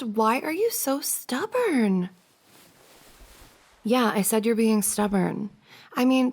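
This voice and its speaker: A woman's voice: on the high side, creaky, heavily acted. A woman playing a playful, teasing young woman, a best friend's older sister, in a giggly, casual ASMR roleplay. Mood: mock exasperated. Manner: giggly, teasing banter with frequent soft laughs.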